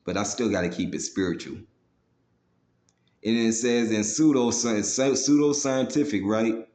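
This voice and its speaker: A man's voice: fairly low pitch, a little slow, a little mellow, reverberant. A man preaching in a confrontational, profane, slangy way, moving between scripture readings and blunt commentary peppered with casual tags. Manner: slangy, with frequent "man" and "you know" tags. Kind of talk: fiery street-style sermon with scripture read aloud.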